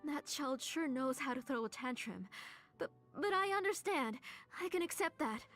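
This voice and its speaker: Grieving, bitter mother in a fantasy game, halting and whispery, high voice, faint music behind. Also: animated, fairly bright tone. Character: grieving mother who lost her daughter, bitter and broken.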